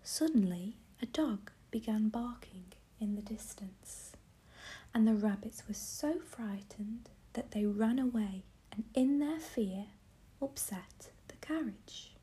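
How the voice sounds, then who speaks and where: A woman voicing a gracious, commanding fairy queen in a soft whisper. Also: fairly high pitch, expressive, takes it slowly, husky, exaggerated voice. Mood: stately. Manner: whispered, stately.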